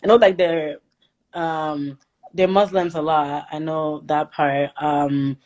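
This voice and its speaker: Low-voiced young-sounding Ghanaian-born woman, chatty. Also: fairly even intonation, slow pace, darkish tone. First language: Twi. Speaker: interview guest. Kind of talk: casual chat.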